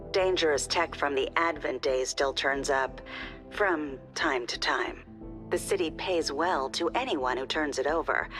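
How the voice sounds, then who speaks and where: A woman's voice: sits low, a bit bright. An actress playing a sly, courteous female proprietor of a shady bar, introducing herself coolly in a video game. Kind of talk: scripted game character introduction. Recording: faint background music.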